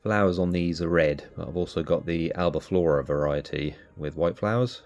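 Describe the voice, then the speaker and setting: A man hosting a cactus channel, sounding nasal from allergies, calmly explaining as he narrates. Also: low voice, normal speed, faint music behind. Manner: relaxed, explanatory. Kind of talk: casual voice-over narration.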